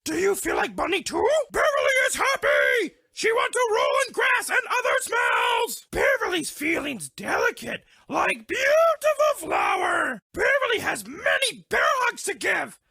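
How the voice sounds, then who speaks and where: A woman's voice: very high voice, wildly swooping pitch, a little slow, vocal fry, hardly any pauses, pushed and bright, dry recording, raised voice, clean studio sound, exaggerated voice. A woman voicing a sweet, dramatic, lovestruck female bear in a cartoon dating game, speaking broken English in a gushing way. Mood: shy, fond.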